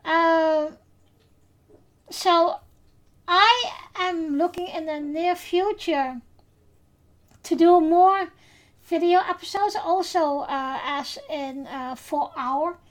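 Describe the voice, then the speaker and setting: A Dutch woman, speaking English with her native accent, hosts a casual podcast. Her voice sits high and she talks at a slow, unhurried pace. She pauses often with fillers as she gathers her thoughts. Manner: unhurried, hesitant with many fillers. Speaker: podcast host.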